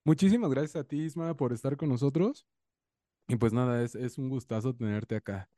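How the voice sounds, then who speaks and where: A man from the coast of Guerrero hosts a podcast interview, friendly and effusive. His voice is very dark and mellow. It sounds dry and close, with no room echo. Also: medium pitch, normal speed. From Mexico, Guerrero coast. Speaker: podcast host.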